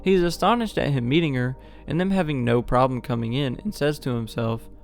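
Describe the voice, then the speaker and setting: A man's voice: medium pitch, brisk, creaky, faint music behind. A man hosting a video essay, giving a scripted explainer narration.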